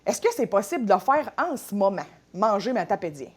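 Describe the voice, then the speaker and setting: A woman's voice: medium pitch, very animated, a little fast, breathy edge. A woman with a Quebec French accent hosting a casual interview, asking questions in a friendly, chatty way. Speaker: radio host.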